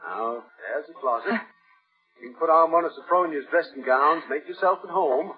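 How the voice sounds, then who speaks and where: Creepy, higher-voiced mad husband played by an actor. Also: male voice, moderate pace, close to an everyday voice. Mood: eerily hospitable. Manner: folksy, insinuating, eerily calm. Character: creepy mad husband, softly possessive and menacing. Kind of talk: 1950s radio thriller acting. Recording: muffled old radio recording, loud music behind.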